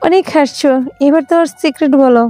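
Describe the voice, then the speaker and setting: A woman's voice: high voice, a little mellow, dry recording. A woman playing a teasing, outspoken young best friend in a romantic audio story. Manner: teasing, blunt.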